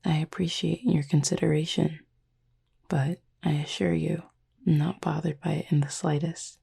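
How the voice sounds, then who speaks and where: A woman voicing a calm, formal, gently teasing cupid demon roommate in a soft-spoken sleep-aid roleplay, with a husky, breathy voice. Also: heavily acted. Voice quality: husky, breathy, gravelly low voice. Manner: formal, measured, softly teasing speech.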